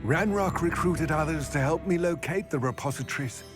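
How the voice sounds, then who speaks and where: A man playing a grave, weary goblin in a video game, speaking in a formal, measured, wordy way about serious matters. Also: on the high side, music in the background, lightly acted. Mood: grave, explaining. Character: grave, weary male goblin, wary of wizards. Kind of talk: video game cutscene acting.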